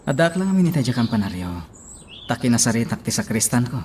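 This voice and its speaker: A man acting a calm, attentive priest in an Ilocano radio drama. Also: mid-range voice, fast, slight background noise, natural voice.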